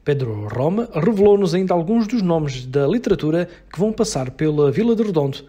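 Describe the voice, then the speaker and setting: A man's voice: on the high side, fairly quick, slight background noise. A male reporter with a European Portuguese accent reading a scripted news voice-over. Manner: lively scripted read.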